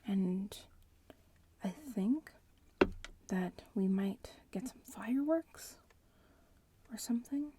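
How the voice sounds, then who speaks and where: A woman playing a smug, bratty young witch in training in an ASMR roleplay, softly whispering and murmuring close to the microphone in an unhurried way. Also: lively intonation, a little hiss or hum. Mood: focused, murmuring. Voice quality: soft, breathy whispering mixed with low murmur.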